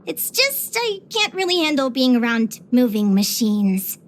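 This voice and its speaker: Game role: bubbly young naturalist adventurer girl, high-pitched, expressive, curious and sweet. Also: measured pace. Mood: sheepish, fond. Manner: expressive, chirpy, with sighs and giggles. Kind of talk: scripted video game character voice lines.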